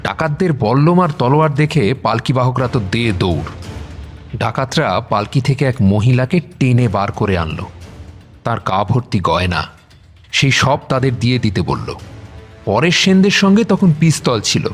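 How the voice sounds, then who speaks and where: A man tells a scripted adventure story in a medium-pitched voice. Faint music plays behind his narration. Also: big pitch swings, normal speed.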